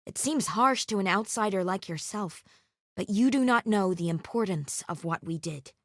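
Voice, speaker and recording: A stern warrior woman sworn to guard a secret order. She speaks formally and gravely in a mid-range voice, with a vengeful edge and measured phrasing. The recording is dry and close, in the manner of video game character lines. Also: mid-range voice, expressive, no room sound. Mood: solemn, confiding.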